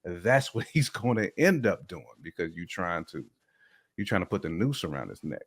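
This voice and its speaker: Male, low voice. Emphatic, opinionated casual talk, repeats words for punch. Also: big pitch swings, moderate pace, husky. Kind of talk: casual conversational commentary.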